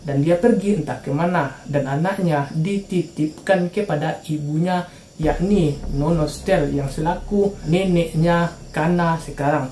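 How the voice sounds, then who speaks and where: Mellow-voiced woman narrating true crime casually. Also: low-pitched, a little slow, reverberant, a little hiss or hum, rough recording. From Indonesia. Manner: informal slangy narration.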